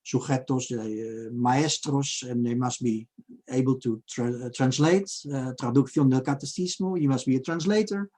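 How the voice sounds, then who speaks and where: Give me a Dutch-accented older man giving a halting seminar lecture from a home study. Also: mid-range voice, mellow. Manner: halting, many fillers, reads Spanish quotes aloud. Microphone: video call.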